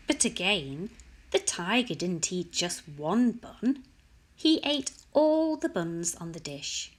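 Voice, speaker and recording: A woman reading a picture book aloud to young children, expressive and often putting questions straight to her listeners. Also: a little high.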